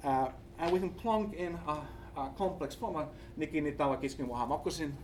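Halting Finnish-accented man presenting, slightly distant. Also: fairly high pitch, a little mellow. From Finland. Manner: halting, searching for words. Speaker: academic researcher presenting. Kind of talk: conference presentation with slides. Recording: distant mic, faint background noise.

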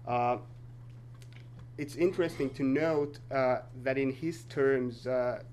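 A man whose first language is North Sami, giving an academic conference talk in a measured, hesitant way with frequent filler pauses. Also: medium pitch, steady tone, darkish tone, slight background noise. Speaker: conference presenter.

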